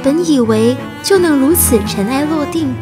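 A woman's voice: high-pitched, lively intonation, moderate pace. A woman acting an earnest, emotional teenage immigrant girl in a reflective radio drama. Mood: reflective, sorrowful. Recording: music behind the voice.

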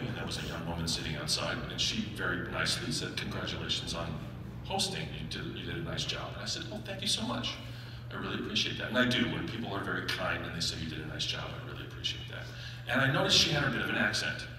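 A middle-aged man emceeing a conference, telling a wry, animated story from the stage into a handheld mic. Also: sits low, fast talker, noisy background. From United States. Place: large hotel ballroom stage. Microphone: handheld mic, recorded from far in audience. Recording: echoey ballroom from far off.

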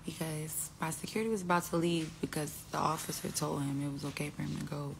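Low, gravelly young woman on a phone. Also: fairly even intonation, fast, a little hiss or hum. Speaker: influencer on a live stream. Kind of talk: casual live-stream talk. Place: indoors at home, phone selfie. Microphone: phone held close.

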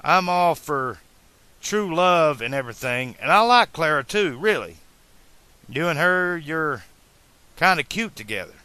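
Guy doing a teen boy in an audiobook, earnest n excitable, very bright forceful voice, kinda pleading. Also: on the high side, measured pace, no room sound, voice pushed up. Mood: careful, persuasive. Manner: emphatic, colloquial, pleading. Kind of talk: audiobook character dialogue.

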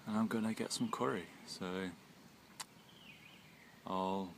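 A young man in his twenties chatting casually into a phone outdoors after a workout, relaxed and laughing now and then. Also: lowish voice, slow pace, vocal fry. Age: 20s. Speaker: fitness vlogger. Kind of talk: casual vlog chat. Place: outdoors in a wooded park. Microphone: phone held close with earphone mic. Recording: phone recording outdoors with faint nature noise. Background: faint birds and outdoor ambience.

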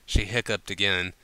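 A man reading a story aloud as an amateur narrator, in an animated, informal manner. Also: low-pitched, clean tone, dry recording. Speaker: narrator, fan fiction reader. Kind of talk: amateur audiobook reading with casual asides.

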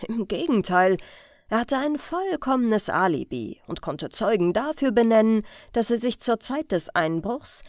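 A woman's voice: on the high side, sing-song, a little slow, a little mellow, no room sound, studio quality. A woman narrating a crime story as an audiobook reader, in a scripted reading style.

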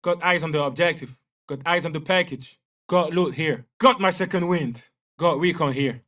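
An actor with a French accent playing a confident young special forces soldier in a military shooter game, giving terse, clipped callouts. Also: male voice, a little high, moderate pace, sharp tone, recorded from a distance. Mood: focused. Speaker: video game operator.

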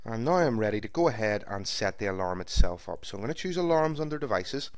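A man with a fairly low voice walks through a software setup one step at a time, calm and methodical like a trainer. His narration is close to the microphone and sounds dry, with almost no room around it. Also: fast talker, dry recording. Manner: steady, methodical step-by-step explanation. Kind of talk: screen-recorded software tutorial. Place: voice-over recorded for a screen capture.

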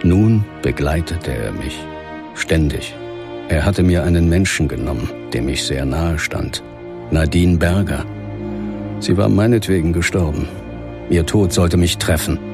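An actor playing a somber, middle-aged ghost hunter who grieves and narrates his horror audio play in measured phrases. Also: low voice, creaky, over music. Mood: brooding, grieving. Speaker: lead actor and first-person narrator.

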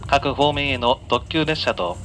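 A man working as a train conductor, giving polite, formal announcements and sightseeing guidance to passengers in a measured way. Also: medium pitch, animated, a bit bright. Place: inside a moving train, over the announcement system. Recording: on-board PA captured amid train noise. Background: faint train running noise.

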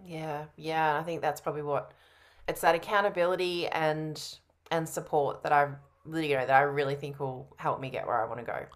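Female coaching client, 30s, deep register, casual, unsure of herself, candid on a video call. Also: fairly even intonation, a little fast. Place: home room, on a video call.

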